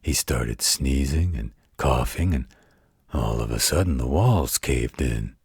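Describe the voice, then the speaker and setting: An extremely deep, husky werewolf played by a man tells a bedtime story in an audio roleplay. He is gruff but protective and tender, taking his time with a folksy, wry delivery. The sound is close and dry. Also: lively intonation, no echo, heavily acted. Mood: folksy storytelling. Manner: folksy, unhurried, gently wry.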